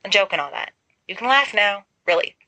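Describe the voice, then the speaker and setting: Woman as a brash, bright teenage wizard boy. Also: mid-range voice, animated, fairly quick, dry recording, dull audio. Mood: nervous, awkward.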